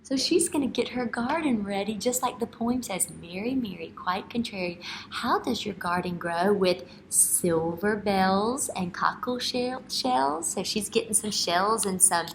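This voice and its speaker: A woman in her thirties speaking as an early-grade teacher, reading a picture book aloud in an expressive, instructional way and checking understanding with questions. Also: mid-range voice, measured pace, slight background noise, poor audio. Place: indoor school classroom.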